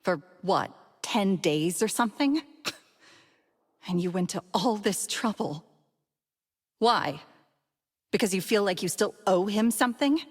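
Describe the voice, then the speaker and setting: Slow, bitter world-weary woman. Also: mid-range voice, expressive, husky. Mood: taken aback, scornful. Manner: wry, confrontational. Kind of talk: dramatic scripted video game dialogue.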